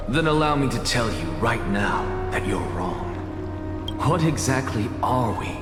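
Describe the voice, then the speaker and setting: An actor playing a proud, eccentric scholar in a video game, lecturing in a theatrical, self-assured manner. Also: male voice, medium pitch, very animated, measured pace. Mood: lecturing, impassioned. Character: proud, eccentric male scholar facing his own end. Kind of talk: dramatic video game voice acting. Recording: echoey reverberant hall, loud music behind.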